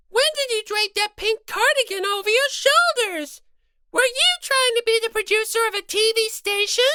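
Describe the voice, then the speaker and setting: A woman's voice: very high voice, sharp tone, no echo, clean studio sound, pitched above the performer's own voice, full character voice. A woman voicing a small, easily flustered little-sister rabbit mascot in an audiobook, whiny and scolding. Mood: suspicious, scolding. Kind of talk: dramatized audiobook character reading.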